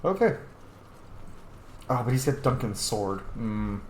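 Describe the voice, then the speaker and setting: A man's voice: mid-range voice, sing-song, takes it slowly. A man hosting a gameplay video with casual, informal commentary, muttering to himself as he goes. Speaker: gaming video host. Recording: roomy echo, faint background noise.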